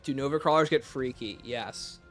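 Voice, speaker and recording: A man's voice: mid-range voice, moderate pace, a bit bright, soft background music. A man hosting a livestream, chatting casually as he takes viewer questions and answers them offhand. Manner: reads questions aloud, then answers offhand. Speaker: livestream host.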